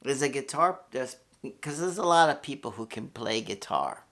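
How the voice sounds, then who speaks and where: A man's voice: mid-range voice, animated, normal speed, fairly bright tone. A man in his sixties, from New York, speaks in an ordinary mid-range voice. He talks as a guitarist and channel host in a loose, reflective monologue. His delivery rambles, circles back on itself and is peppered with swearing. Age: 60s. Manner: rambling, candid, swears freely, with many fillers and restarts.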